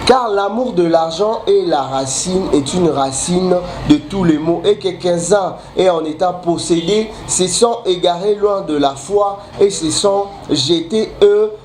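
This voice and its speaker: A man preaching a sermon while he has a cold, halting and restarting often, then building emphatic repetitions. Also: sits high, a little mellow. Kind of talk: sermon mixing French and Lingala. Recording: echoey room with faint ambient noise.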